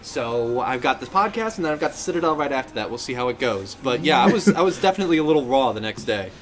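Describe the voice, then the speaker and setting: A man hosting a casual, playful live podcast, his throat slightly hoarse as he recovers from being sick. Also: medium pitch, animated, fast, music in the background. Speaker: podcast host. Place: inside a game store.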